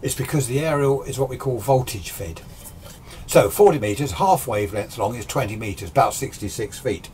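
Low-voiced older British man, rambling informally. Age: 60s. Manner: casual, rambling, self-deprecating. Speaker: amateur radio hobbyist presenter. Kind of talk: informal technical explanation. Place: small indoor home radio workshop. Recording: steady background noise.

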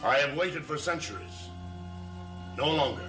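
An older actor playing a centuries-old, authoritative emissary of dark powers in a gothic television soap, measured and taunting. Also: male voice, fairly high pitch, animated. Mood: stern, final. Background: dramatic music.

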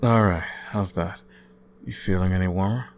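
A young man playing an easygoing, caring student roommate in an intimate ASMR audio roleplay, talking casually with gentle teasing. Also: low voice, moderate pace, a little hiss or hum. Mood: gentle, reassuring. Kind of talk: intimate ASMR boyfriend-style roleplay, conversational acting.

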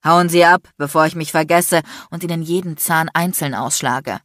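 An icy, domineering man in an audiobook drama, acted out by a woman. He is furious and sarcastic, hissing and barking threats in a lowish voice with a dry, close sound. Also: normal speed, no room sound. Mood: icy fury, threatening. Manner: shouting, biting, threatening, crude. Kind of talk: dramatic audiobook character acting.

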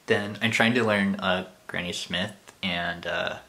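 A man's voice: sits low, fairly even intonation, unhurried, creaky, sharp tone, a little hiss or hum. Rambling young man vlogging. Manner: rambling, self-deprecating. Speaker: YouTuber. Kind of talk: casual vlog chat. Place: small bedroom with posters.

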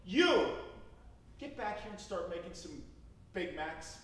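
Exasperated man shouting on an echoey stage. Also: high voice, very animated, slow pace, distant mic, a little hiss or hum. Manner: loud, exasperated, bossy. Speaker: improv performer playing a fed-up customer. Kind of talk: live improv comedy scene. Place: small theater stage. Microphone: distant room mic.

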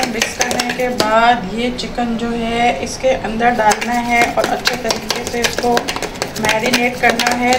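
Woman, home cooking vlog, chatty, somewhat high voice, echoey kitchen, noisy background. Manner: chatty, addresses viewers directly, mixes in English words. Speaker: home cook showing a recipe. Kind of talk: casual cooking vlog narration. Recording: echoey room with clear household noise.

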